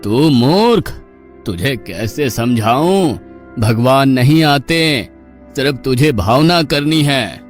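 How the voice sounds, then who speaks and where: A man voicing a slow, strict old guru. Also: medium pitch, expressive, soft background music. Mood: admonishing. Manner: slow, admonishing, fatherly. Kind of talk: Hindi cartoon story dubbing.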